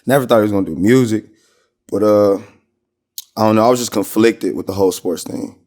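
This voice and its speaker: A man's voice: fairly low pitch, a little fast, a little mellow. An American man from Minnesota chatting casually as a podcast guest, with slangy, rambling storytelling. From Minnesota, United States. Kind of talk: casual interview chat.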